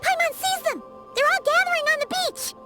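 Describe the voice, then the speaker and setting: A woman voicing a tiny, excitable fairy companion in an anime-style game, with a squeaky childlike voice. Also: a little fast, over music. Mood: excited. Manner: excitable, exclamatory.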